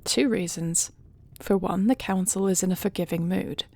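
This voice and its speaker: Woman acting a composed dragon queen with a creaky edge. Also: fairly low pitch, a little mellow. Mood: reassuring, resolute. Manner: formal, measured, earnest speech. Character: dragon queen, devoted wife, composed, dutiful and resolute. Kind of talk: audio roleplay acting.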